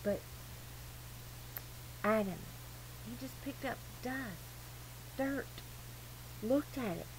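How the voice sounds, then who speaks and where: A woman's voice: a little high, lively intonation, takes it slowly, long pauses. A woman giving an informal, rambling religious monologue in an earnest, emphatic, digressive way. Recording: noisy amateur recording with clear hum.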